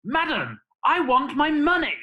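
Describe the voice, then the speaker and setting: A woman's voice: high-pitched, very animated, unhurried, muffled recording. A woman playing a mean, pompous male landlord squire in a radio pantomime, scornful and declamatory. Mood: disgusted. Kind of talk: radio pantomime villain acting.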